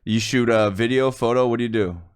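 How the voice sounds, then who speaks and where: A man's voice: medium pitch, brisk, smooth. A man co-hosting a podcast, easygoing and agreeable, joking along in casual chat. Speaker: podcast co-host.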